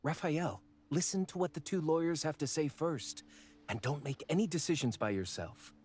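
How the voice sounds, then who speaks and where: Earnest, cautious gallery manager. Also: male voice, on the high side, normal speed, a little mellow, slightly rough. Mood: urgent. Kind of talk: video game voice acting.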